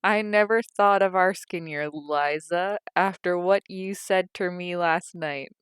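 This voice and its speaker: A woman's voice: mid-range voice, a little slow, smooth, dry recording, natural voice. A woman voicing a sulky young working-class man with a Cockney accent, speaking reproachfully.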